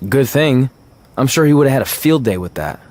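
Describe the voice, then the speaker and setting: A man's voice: medium pitch, expressive, a little fast, a little mellow, close to an everyday voice. A man playing an earnest, good-natured young indie wrestler in a video game cutscene, sincere and a little sheepish. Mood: apologetic.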